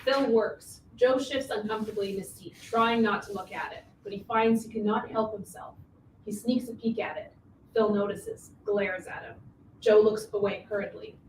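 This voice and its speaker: A woman's voice: medium pitch, fairly even intonation, moderate pace. Woman narrating from across an echoey room. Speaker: stage directions reader. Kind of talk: scripted narration. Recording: roomy, distant mic, faint noise.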